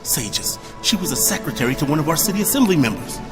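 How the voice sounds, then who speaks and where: In a long, reverberant hall with music behind, an anxious male former mayor faces judgment. He is earnest and stammers with self-doubt, his voice swinging widely in pitch. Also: big pitch swings, fast. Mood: puzzled wonder. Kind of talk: audio drama acting.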